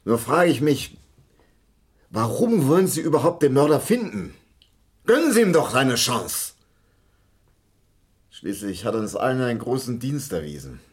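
A man acting in a German radio crime drama as a bitter, washed-up, hard-drinking theatre director, halting and repetitive, with a rough, husky voice. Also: medium pitch, big pitch swings. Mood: resigned, cynical. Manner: slow, halting, repeats himself, swinging between mutter and outburst.

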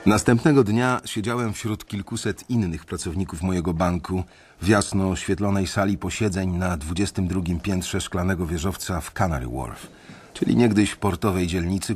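Deep-voiced man narrating reflectively. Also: husky. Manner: measured, reflective storytelling. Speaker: first-person narrator. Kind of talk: radio drama narration.

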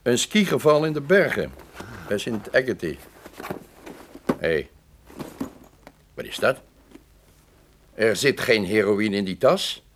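An actor playing a stern, suspicious, businesslike investigator in a radio play, on a noisy old recording. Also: male voice, fairly low pitch, very animated, slow, long pauses, heavily acted.

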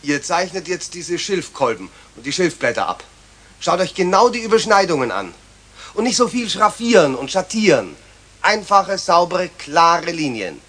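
A man's voice: high voice, very animated, moderate pace, fairly bright tone, full character voice. An actor playing a stern, exasperated male schoolteacher in a children's radio play, scolding with emphatic enunciation. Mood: instructing. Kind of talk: 1970s German children's radio play acting.

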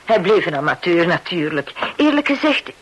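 A woman's voice: fairly low pitch, sing-song, moderate pace, slightly rough. A woman playing a polite, matter-of-fact widow in a radio play. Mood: reminiscing. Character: polite, matter-of-fact widow Missus Barclay showing paintings. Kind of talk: Dutch-language radio play acting. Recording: hissy, noisy old radio recording.

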